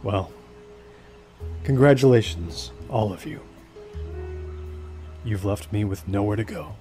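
An actor playing an obsessed, brooding man in a naturalistic audio drama, with a hesitant, halting delivery. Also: lowish voice, expressive, warm tone, faint music behind. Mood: bitter, brooding. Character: obsessed, brooding man seeking supernatural truth.

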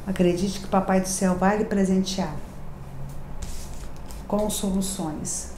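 Brazilian lady doing a tarot reading to camera, chatty and warm-hearted, sounds like a bare echoey room. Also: medium pitch, steady tone, slow. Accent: Rio de Janeiro Portuguese. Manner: chatty, affectionate, confiding, emphatic repetitions. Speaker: tarot reader and channel host. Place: decorated home room, indoors. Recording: echoey room, faint background hiss.

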